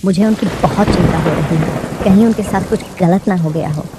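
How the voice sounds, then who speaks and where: A woman voicing a caring, anxious mother sparrow in a bird cartoon, gentle and concerned. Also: lowish voice, moderate pace, close to an everyday voice. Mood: worried. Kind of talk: Hindi bird cartoon voice acting. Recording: noisy, rain and thunder behind.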